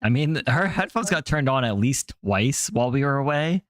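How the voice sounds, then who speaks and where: A man chatting calmly and matter-of-factly with friends during a game stream. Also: mid-range voice, normal speed, no room sound, clean studio sound. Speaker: streamer's partner. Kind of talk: casual chat while gaming.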